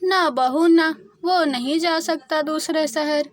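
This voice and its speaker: A woman's voice: sits high, slow, no room sound, studio quality. A woman voicing an older, anxious, easily swayed mother-in-law crow in a children's cartoon, simple and concerned. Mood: worried, forbidding.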